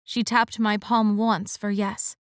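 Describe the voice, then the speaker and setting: A woman reading a first-person romance audiobook in a measured, expressive way. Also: medium pitch, dry recording, studio quality. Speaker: audiobook narrator.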